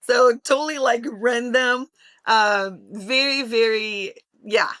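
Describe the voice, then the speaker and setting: Earnest woman from brazil in her 40s, bright clear voice, dry close sound. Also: a little high, slow pace, dry recording. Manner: earnest and passionate. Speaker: guest, homeschooling mother. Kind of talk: interview conversation.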